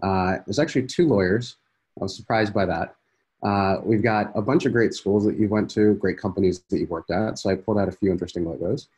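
A man's voice: fairly low pitch, fairly quick, a little mellow, slightly rough. A man in his thirties hosting a founders' video call, talking casually with many fillers. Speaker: program host. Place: indoor room. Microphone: laptop video call.

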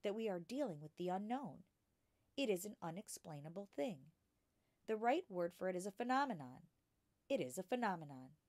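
Gentle, cautious female schoolteacher played by a woman reader, storybook style, patient and measured, in a dry space. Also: mid-range voice, big pitch swings, no room sound, natural voice. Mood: careful, patient. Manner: patient, careful, measured explaining. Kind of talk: children's storybook character reading.

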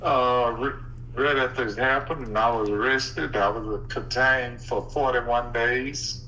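Man, bright forceful tone, slow, plain halting answers, phone call, dull lo-fi sound. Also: medium pitch, fairly even intonation. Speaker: prank call recipient. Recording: dull distant phone line, faint noise.

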